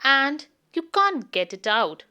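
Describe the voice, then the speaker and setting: Storybook toy duck , read by a woman: grumbly, dismissive, high voice, unhurried pace. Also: big pitch swings, bright, forceful tone, heavily acted. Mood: grumbling. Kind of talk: children's storybook character voice.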